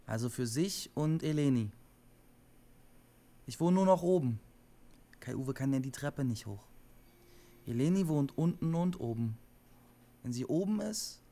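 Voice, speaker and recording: A man's voice: fairly high pitch, dark tone. An actor playing a defiant, lonely young man telling invented stories in short, matter-of-fact statements in a radio drama. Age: young adult. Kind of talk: naturalistic German radio drama acting.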